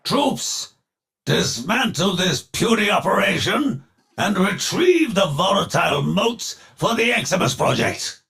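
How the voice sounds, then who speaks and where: An actor playing a ruthless, grandiose older industrialist villain in a video game, speaking in a theatrical, pompous and declamatory way with a gravelly voice. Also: male voice, mid-range voice, sing-song, fairly bright tone, full character voice. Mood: commanding. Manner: pompous, declamatory, slow deliberate phrasing.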